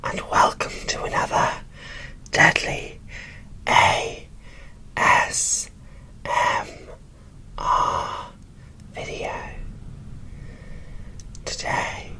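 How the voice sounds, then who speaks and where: A woman from the UK whispering softly and breathily as she hosts an ASMR unboxing, in an unhurried way. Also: recorded from a distance, slight background noise. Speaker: host of an ASMR doll unboxing channel.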